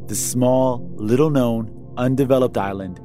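A man's voice: mid-range voice, measured pace. Man narrating history with music. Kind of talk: scripted explainer narration.

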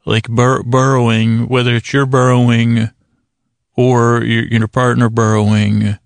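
A man hosting a bedtime podcast in a halting, meandering monologue, repeating himself, with a gravelly voice close to the microphone. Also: medium pitch, little pitch movement, no room sound. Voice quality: gravelly, creaky. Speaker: podcast host. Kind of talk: rambling bedtime monologue to help listeners sleep.

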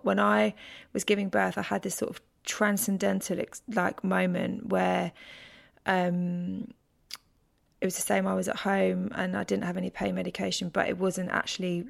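Gravelly, swearing woman host. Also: mid-range voice. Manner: emphatic, swears freely. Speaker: podcast host. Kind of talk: candid casual conversation.

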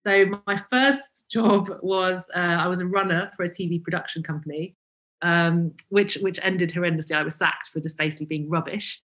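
A woman's voice: fairly low pitch, steady tone, moderate pace, a bit bright, rough recording. A woman, a guest in an interview, speaking in a self-deprecating, hesitant way with many ums and restarts. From England (Cambridgeshire). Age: 40s. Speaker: guest, founder of a vegan brand. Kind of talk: reflective interview conversation.

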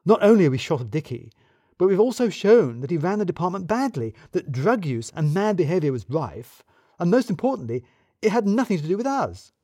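Man voicing a pointed woman office colleague, lifted pitch. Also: sing-song, fairly quick, mellow, dry recording, clean studio sound, heavily acted. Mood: pointed, satisfied. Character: opinionated female office colleague, dry and pointed.

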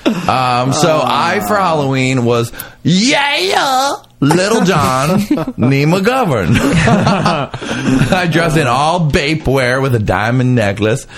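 A man's voice: fairly high pitch, big pitch swings, takes it slowly, a bit bright. A man hosting a podcast in casual comedic chat, playful and campy, repeating phrases for effect. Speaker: podcast host.

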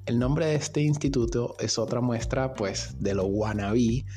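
A male podcast host narrates in a medium-pitched voice with music playing behind him. His delivery is informal and conversational, like someone telling a story, with a dry, sardonic edge to his asides. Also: unhurried, dark tone.

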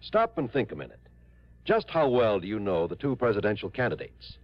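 A man's voice: on the low side, wildly swooping pitch, fairly quick, no room sound. An American man reading scripted announcements as a mid-century radio announcer. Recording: muffled old radio recording, faint music.

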